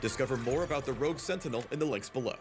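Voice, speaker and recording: A man's voice: mid-range voice, very fast. A man reading scripted promotional narration for a game video in a punchy, theatrical announcer style. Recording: narration over music. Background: music.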